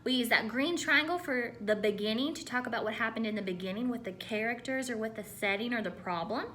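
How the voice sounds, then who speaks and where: A woman teacher giving a read-aloud lesson to children in an animated, instructive way, often putting questions to her listeners. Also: on the high side, brisk, slight background noise. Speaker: elementary school teacher.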